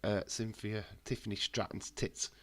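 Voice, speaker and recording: A man's voice: lowish voice, fairly even intonation, normal speed, no echo. A man with a head cold, chatting casually and bluntly on a live stream, swearing freely and answering viewers' messages. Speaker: wrestling watch-along streamer.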